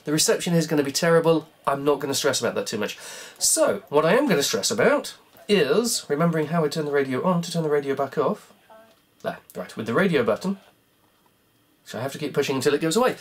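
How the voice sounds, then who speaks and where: A croaky speaker rambles through a self-mocking running commentary while taking apart a cassette player at home. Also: mid-range voice. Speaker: hobbyist repair vlogger.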